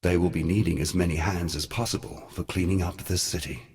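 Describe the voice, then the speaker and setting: An actor playing a grave, noble god who guides mortals, speaking in a solemn, measured, lofty way for a fantasy game. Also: male voice, sits low, steady tone, a little mellow. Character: grave, noble brother god, guiding mentor of exiles. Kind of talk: dramatic fantasy game voice acting.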